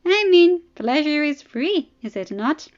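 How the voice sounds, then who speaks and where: A woman's voice: high voice, sing-song, a little slow, highs cut off, voice pushed up. A woman voicing an amused, provocative young princess with a sensual, drawling manner, as audiobook dialogue. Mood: taunting.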